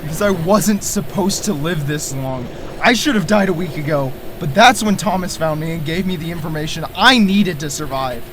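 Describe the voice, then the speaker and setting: A man's voice: a little high, moderate pace, hardly any pauses, a bit bright, slight background noise, lightly acted. Man playing a stammering, defiant conspiracy theorist. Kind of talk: audio drama acting.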